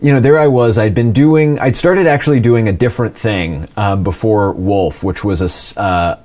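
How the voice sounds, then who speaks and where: A man's voice: lowish voice, moderate pace, a little mellow. A man in his twenties with a polished, announcer-like voice, telling stories casually and self-deprecatingly as an interview guest. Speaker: voice actor guest.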